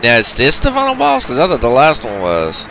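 A man's voice: mid-range voice, wildly swooping pitch, a little fast, gravelly, pushed and bright, a little hiss or hum. A man hosting a video game channel, giving informal, chatty gameplay commentary with some slang. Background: arcade game music.

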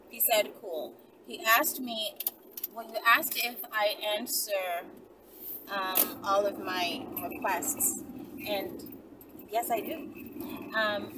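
Young female vlogger, higher-pitched, chatty storyteller; recorded in a car with clear background noise. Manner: animated, conversational, frequent checking tags like "right?". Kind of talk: casual chatty storytelling to viewers. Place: inside a car in daylight. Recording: distant mic, noisy car interior.

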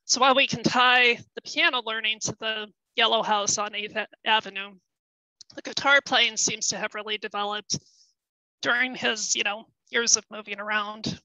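A middle-aged woman presents historical research in an online talk, explaining carefully with frequent ums and small restarts. Her voice is bright and slightly high, and it is starting to give out. The sound is close and dry, through a headset at home. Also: fairly even intonation, normal speed, dry recording, poor audio. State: losing her voice. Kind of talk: webinar presentation.

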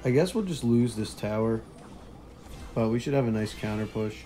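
A young man with a hoarse, partly lost voice, casually commentating a mobile strategy game and chuckling quietly as he plays. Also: on the low side, moderate pace, soft background music. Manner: relaxed, chuckles quietly while playing.

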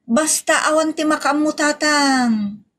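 A woman's voice: high-pitched, takes it slowly, fairly bright tone. A woman playing a stubborn, sassy grown child in an Ilocano comedy drama, emphatic and defiant, with an Ilocano accent. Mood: secretive, stubborn.

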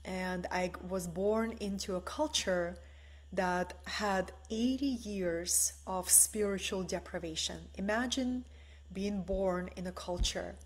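A woman in her forties, originally from the former Soviet Union, giving a soft, meditative spiritual talk from her living room on a webcam. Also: medium pitch. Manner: slow, soft and meditative, often asking "right?". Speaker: spiritual teacher. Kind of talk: live-streamed spiritual talk. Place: bright living room at home, indoors.

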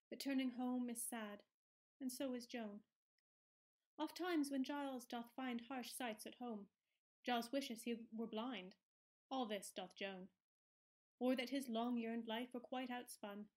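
A woman reads verse aloud. Her voice sits slightly high, and her delivery is measured and wry. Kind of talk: scripted verse recitation.

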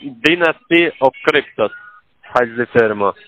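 A man's voice: mid-range voice, expressive, a little slow, fairly bright tone, lo-fi sound, a little hiss or hum. A man calling as an account manager, repeating himself and slipping between formal and informal address. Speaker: scam call-center caller.

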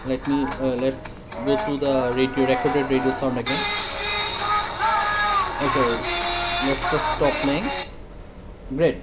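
A man demonstrating a product casually, hesitant and halting, often repeating his words. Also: mid-range voice, flat delivery, over loud music, low-quality recording. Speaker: product reviewer.

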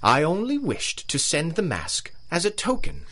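An actor playing a pompous, coldly courteous colonel and villainous big-game hunter in a radio drama. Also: male voice, fairly high pitch, huge pitch swings, a little slow, a bit bright, a little hiss or hum, heavily acted. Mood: grandiose menace. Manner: pompous, theatrical, genteel menace.

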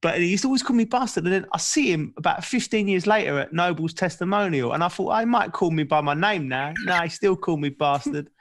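A man's voice: high voice, quick pace, hardly any pauses. A man in his thirties co-hosting a football show, telling stories over a video call from home with earphones. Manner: lively, laughing anecdotes. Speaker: co-host, former footballer. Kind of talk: casual chat. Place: home room, indoors.

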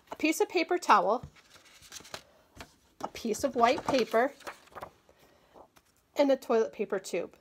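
Woman patiently demonstrating a table-top craft. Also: a little high. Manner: clear, patient instructional delivery. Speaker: agriculture educator. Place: small indoor room, table-top demo.

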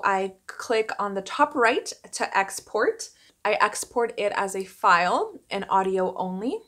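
A woman's voice: medium pitch, fairly bright tone. A young adult woman giving a casual, explanatory vlog talk to camera in a small room at home. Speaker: vlogger, voice actor. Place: small home office indoors.

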